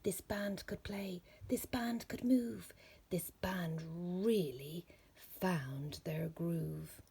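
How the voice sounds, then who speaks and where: A woman with a husky, breathy voice reading a rhyming children's story aloud in slow, deliberate phrases. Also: fairly low pitch, warm tone. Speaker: storybook reader.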